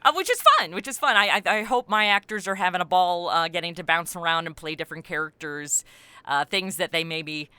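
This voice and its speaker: Bright, clear-voiced middle-aged woman. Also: fairly low pitch, brisk, no room sound. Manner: animated, self-deprecating, acts out quoted remarks. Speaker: podcast creator and voice actor, interview guest. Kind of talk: casual interview chat.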